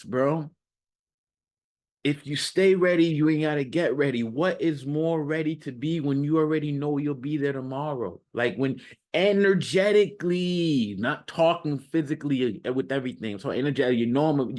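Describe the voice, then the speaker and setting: A man's voice: medium pitch, darkish tone. Emphatic man giving a motivational monologue. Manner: emphatic, repetitive, slangy street-casual talk. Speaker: self-improvement coach and content creator.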